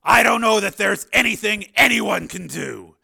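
A man voicing a tough, tormented cyborg man full of bitterness and self-doubt, in a forceful audiobook performance. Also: sits high, expressive, moderate pace, vocal fry, husky, voice pushed up, full character voice. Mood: bitter, agitated. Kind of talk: dramatized audiobook dialogue.

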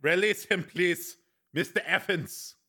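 A man's voice: high-pitched, slow, sharp tone, husky, voice pushed up, heavily acted. A man voicing a cool, commanding investigator in an audiobook, speaking formally and precisely with polite authority. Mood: firm, polite. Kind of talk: audiobook character acting.

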